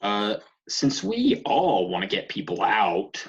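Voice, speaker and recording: A man's voice: lowish voice, huge pitch swings, takes it slowly, creaky, slightly rough, heavily acted. A man playing a tired, sarcastic young man who complains about his housemates in a comedy table read. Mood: exasperated. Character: sleep-deprived, exasperated young man with housemate troubles. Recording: muffled video call audio.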